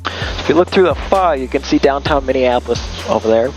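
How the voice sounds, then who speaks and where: A man's voice: mid-range voice, lively intonation, fairly quick, soft background music. A man speaking as a pilot in a small plane, explaining things casually step by step and making radio calls over a headset. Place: small plane cockpit in flight. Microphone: aviation headset. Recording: dull aircraft headset audio, loud engine noise.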